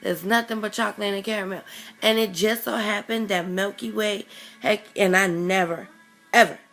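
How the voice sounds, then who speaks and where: A young woman vlogging casually with a raspy voice from a chest cold, rambling with many ums and self-corrections. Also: medium pitch, steady tone, moderate pace, a bit bright, soft background music. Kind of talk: casual chatty vlog.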